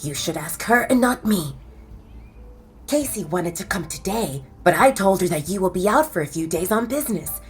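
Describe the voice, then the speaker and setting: A woman's voice: on the low side, animated, normal speed, faint music behind, close to an everyday voice. A woman voicing a stern, motherly middle-aged woman who nags and insists in an audiobook. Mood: insistent. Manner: nagging, insistent. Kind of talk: audiobook character reading.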